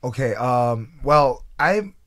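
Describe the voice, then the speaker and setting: A man in his thirties from Taiwan, raised in Canada, telling his story as a podcast guest in a small studio, animated and halting with restarts. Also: medium pitch. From Taiwan, raised in Canada from age nine. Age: 30s. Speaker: guest, pro athlete. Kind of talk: storytelling in an interview. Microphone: desk mic on boom arm.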